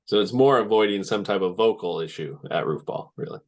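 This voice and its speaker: A man's voice: fairly low pitch, expressive, brisk. A man co-hosting a podcast, chatting casually in a laid-back, loosely phrased way. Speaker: podcast co-host. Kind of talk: casual conversational commentary.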